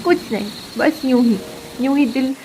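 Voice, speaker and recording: An actress voicing a patient, hurt young wife in an animated story, talking emotionally and pleadingly. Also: female voice, high-pitched, moderate pace, a little hiss or hum, lightly acted, rough recording. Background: background music.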